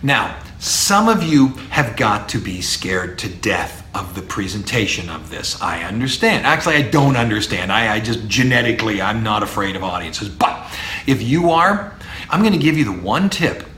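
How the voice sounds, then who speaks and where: A middle-aged baritone man gives an animated podcast lecture with a lively, swinging pitch. He is emphatic, drops into low, punchy asides, and sounds like he is in an echoey room with faint hiss behind him. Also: moderate pace. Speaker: speech professor. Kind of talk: podcast lecture on public speaking.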